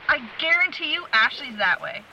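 A woman's voice: high voice, animated, normal speed. A woman playing a determined, blunt young searcher in a supernatural audio drama, talking insistently. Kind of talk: audio drama acting, conversational. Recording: muffled, with loud background noise.

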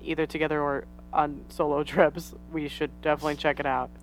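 A woman's voice: low voice, flat delivery, fairly quick, soft background music, low-quality recording. A woman co-hosting a casual podcast. She reacts with enthusiastic, exaggerated remarks. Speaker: podcast co-host. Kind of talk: casual chat.

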